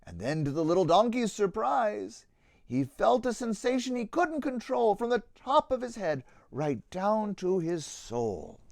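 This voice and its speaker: A man, a pastor, reading a children's storybook aloud in an expressive, theatrical way, with a rhythmic delivery of verse. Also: sits high, unhurried, gravelly, dry recording, clean studio sound.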